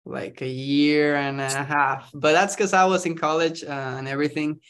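A man's voice: fairly high pitch, steady tone, normal speed, fairly bright tone, smooth. A young man, chatting upbeat as a podcast guest. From Costa Rica. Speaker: guest, startup founder. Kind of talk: casual interview chat.

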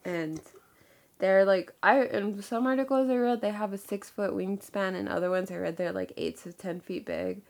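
A woman's voice: mid-range voice, moderate pace, gravelly, a little mellow. A young woman co-hosting a casual podcast, sounding nasal from a cold, chatty with lots of fillers. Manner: chatty, laughing, filler-heavy. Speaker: podcast co-host. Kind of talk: casual chat.

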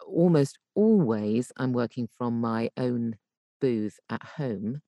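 A British woman talking conversationally as an interview guest, explaining things in an animated, emphatic way from a home voice booth. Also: very deep voice, takes it slowly, dry recording, muffled recording. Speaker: podcast guest, voice actor and coach.

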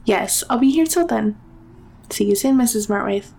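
An actress playing a brisk, polite apartment front desk attendant in an audio drama, businesslike in manner. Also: female voice, a little high, expressive, vocal fry, darkish tone. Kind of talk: naturalistic audio drama acting.

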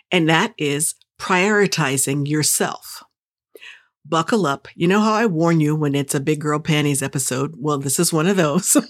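Energetic female host with a somewhat low voice, giving an upbeat motivational monologue straight to the listener. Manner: upbeat, enthusiastic, pep-talk delivery; direct, playful, speaks straight to the listener. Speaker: podcast host.

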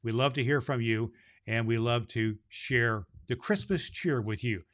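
A man's voice: medium pitch, measured pace, fairly bright tone. A man hosting a children's podcast in the role of Santa Claus, reading a storybook chapter aloud with grandfatherly chuckles.

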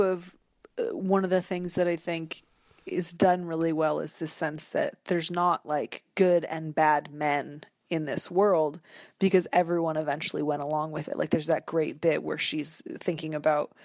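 Low-voiced woman, reflective interview guest. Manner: reflective, hesitant, self-correcting in long sentences. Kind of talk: conversational interview, literary discussion.

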